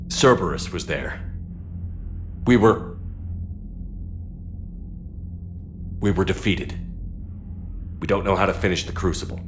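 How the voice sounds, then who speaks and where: Low-voiced battle-weary military commander. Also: male voice, lively intonation, normal speed, a little hiss or hum. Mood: grave, regretful. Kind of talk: dramatic video game acting.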